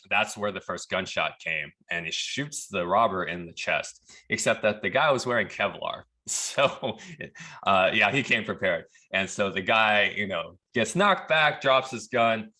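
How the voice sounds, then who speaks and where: A man from Texas tells a story on a podcast, loose and conversational. His voice sits low but has a bright, forward edge to it. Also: a little slow. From Texas, USA. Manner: relaxed, chatty, laughs at the absurd parts. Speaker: podcast guest. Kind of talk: casual storytelling.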